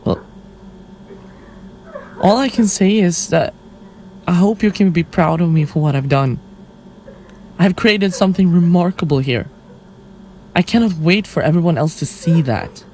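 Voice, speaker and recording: An actress playing a defiant, deluded condemned killer and artist in an audio drama, theatrical and flippant. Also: female voice, on the low side, expressive, measured pace, pauses often, darkish tone, a little hiss or hum, rough recording. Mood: proud.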